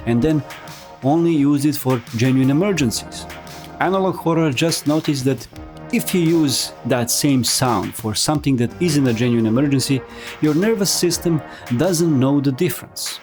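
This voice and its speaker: A man's voice: mid-range voice, a little mellow. A man narrating a scripted explainer as a video essayist, with wry, conversational asides. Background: music.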